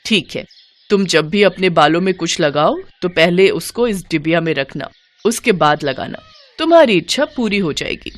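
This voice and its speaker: A woman's voice: fairly low pitch, animated, moderate pace, close to an everyday voice. Woman as a sly, knowing female healer. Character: wise woman healer from the mountains, sly and stern.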